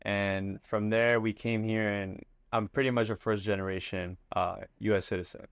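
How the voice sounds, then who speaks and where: A man in his twenties who grew up in Miami after coming from Ecuador, talking casually as an interview guest, relaxed and full of fillers. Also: fairly low pitch, moderate pace, gravelly, clear, resonant tone, no room sound. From Ecuador, raised in Miami. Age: 20s. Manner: relaxed, loose, many fillers. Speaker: guest entrepreneur.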